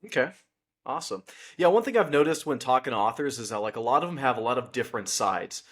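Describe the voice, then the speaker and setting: A man's voice: medium pitch, lively intonation, fast talker, fairly bright tone. Young adult male host, affable and conversational, mid-range voice. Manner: friendly, chatty, laughs easily. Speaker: podcast host. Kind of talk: casual podcast interview.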